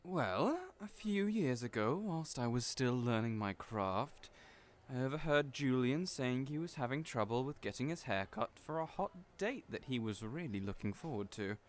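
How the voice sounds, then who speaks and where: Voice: medium pitch, expressive, normal speed, lightly acted. An actor in an audio roleplay playing a cheerful, chatty hairdresser who is theatrical, effusive and playfully teasing.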